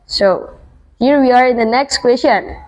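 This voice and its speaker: Girl co-hosting a school podcast, higher voice, friendly and encouraging. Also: unhurried, a little mellow, low-quality recording. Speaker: podcast co-host.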